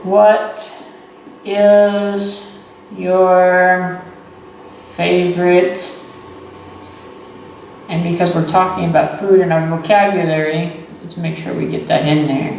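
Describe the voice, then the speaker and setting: A man, teaching beginner English slowly and patiently, repeating phrases and checking in with "okay" and "right". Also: sits high, flat delivery, a little mellow. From Texas. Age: 30s. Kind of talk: slow, deliberate beginner English lesson. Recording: echoey room, voice far from the mic, faint noise.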